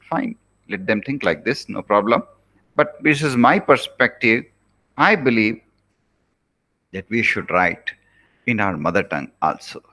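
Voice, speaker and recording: An earnest Indian man delivers a lecture in English, his first language being Rajasthani. His voice is bright and moves up and down a lot in pitch, and he urges his listeners by repeating his points. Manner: earnest, repeats key phrases, pleading. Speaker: professor giving a lecture. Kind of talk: recorded lecture to students.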